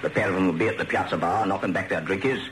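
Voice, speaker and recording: Brusque British major, low voice, bossy spymaster, slangy, radio comedy, faintly noisy recording. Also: male voice, racing, a bit bright, roomy sound. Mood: impatient, ordering. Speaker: actor in a radio spy comedy.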